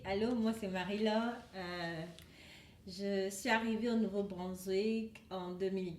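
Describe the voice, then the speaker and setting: A woman's voice: mid-range voice, fairly even intonation, a little slow, darkish tone. Easygoing young woman in an echoey room. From Côte d'Ivoire. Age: young adult. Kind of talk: casual chat.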